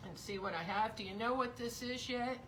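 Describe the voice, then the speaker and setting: A woman teaching sewing live, chatty and rambling, thinking aloud as she works, with her voice going hoarse. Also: fairly high pitch, a little fast, fairly bright tone. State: losing her voice. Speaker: sewing instructor. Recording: noisy background.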